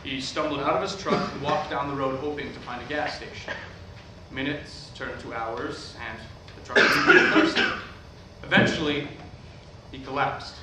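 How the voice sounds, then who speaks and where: A man's voice: medium pitch, fairly even intonation, normal speed, close to an everyday voice. A man playing a showy middle-aged evangelist preacher, delivering a theatrical, emphatic sermon with a salesman's flair. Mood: solemn storytelling. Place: staged reading in a hall, recorded from afar. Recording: distant echoey hall, noisy room recording.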